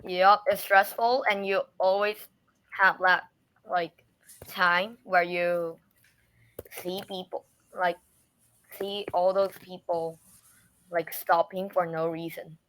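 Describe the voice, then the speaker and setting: A speaker from Taiwan practicing English on a video call, hesitant and full of fillers. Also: male voice, high voice, flat delivery, slow pace, long pauses, a bit bright, clean tone, poor audio. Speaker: English learner. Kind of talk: casual conversation.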